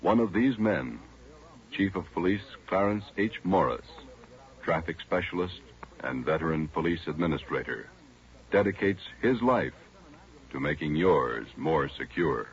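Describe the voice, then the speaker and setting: A man's voice: low-pitched, lively intonation, measured pace. A man announcing in a formal 1940s radio style, stately and declarative. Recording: muffled vintage radio, music behind.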